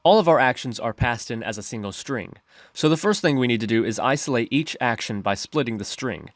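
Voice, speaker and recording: A man's voice: mid-range voice, expressive, brisk, no room sound. A man narrating a programming tutorial in a methodical, instructional way.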